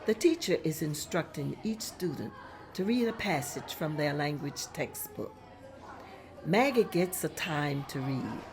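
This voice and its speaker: Low-voiced woman reading stage directions. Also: measured pace, creaky. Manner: plain, steady reading of scene directions. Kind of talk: table-read narration of a script.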